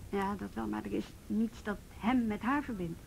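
A female police officer with a Netherlands Dutch accent. Her mid-pitched voice is measured, sceptical and reluctant. It has the faint rumble of an old radio drama. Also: vocal fry, darkish tone, poor audio. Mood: doubtful. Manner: measured, doubting, disapproving.

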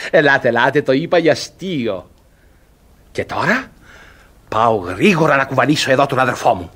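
An actor playing an agitated, self-satisfied, mocking brother in a classic farce, in a theatrical radio style with sarcastic, emphatic exclamations. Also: male voice, medium pitch, animated, a bit bright, close to an everyday voice. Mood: bossy, sly. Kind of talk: theatrical Greek radio comedy acting.

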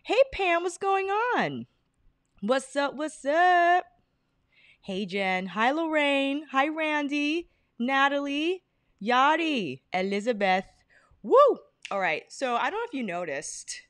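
A young woman hosting a casual beauty livestream from her apartment, bubbly and chatty, greeting viewers by name with bursts of excitement. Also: sits high, slow, no room sound. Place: apartment living room, fan humming. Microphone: desk podcast mic in front.

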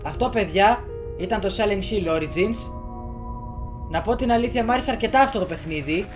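A man's voice: high voice, lively intonation. A young man talks casually through a video game as he plays. He records at a desk microphone in a small room, with prominent game music behind his voice. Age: young adult. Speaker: gaming video host. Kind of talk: casual let's play commentary. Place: small bedroom. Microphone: desk USB microphone, headset worn.

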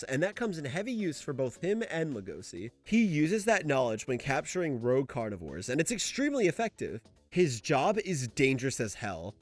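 A young man giving casual scripted manga commentary as a video essay host, informal, sweary and emphatic. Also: on the high side. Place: voice-over. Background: faint music.

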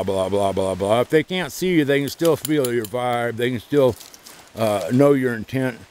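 A man in a mid-pitched voice chats loosely and meanders from thought to thought, recorded on a handheld camera outdoors beside a creek. Faint outdoor noise sits under his voice. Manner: talks to his dog between asides, chatty and meandering. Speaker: service dog trainer and vlogger. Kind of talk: rambling outdoor vlog chat.

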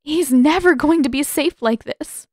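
A woman's voice: high-pitched, unhurried, a little mellow, no room sound, studio quality, voice pushed up. A woman voicing an earnest, anxious teenage girl who pleads with urgent emotion in a fantasy story. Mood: pleading.

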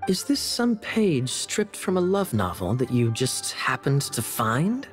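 Suave young game knight, sly teasing delivery, slow pace, lively intonation, playful, theatrical. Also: male voice, mid-range voice. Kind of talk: animated video game voice acting. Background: faint game music.

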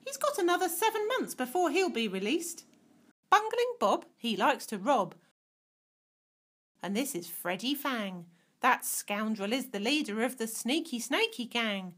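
A woman voicing a plucky, confident, tiny police mouse sergeant with a squeaky voice in a rhyming cartoon. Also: big pitch swings, measured pace, a bit bright, heavily acted. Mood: proud, stern. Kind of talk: rhyming animated story dialogue.

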